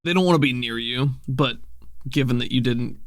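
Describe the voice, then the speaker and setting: Creaky-voiced man running a tabletop game. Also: medium pitch, normal speed, no room sound. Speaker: game master. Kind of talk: casual improvised tabletop game narration.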